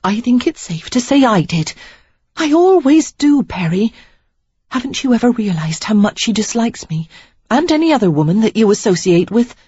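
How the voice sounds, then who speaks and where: A woman's voice: fairly high pitch, big pitch swings, moderate pace, mellow, slightly rough, no echo, highs cut off, pitched above the performer's own voice. Woman voicing an indignant young woman. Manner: pleading, emphatic, near tears. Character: young woman, frustrated and resolute suitor.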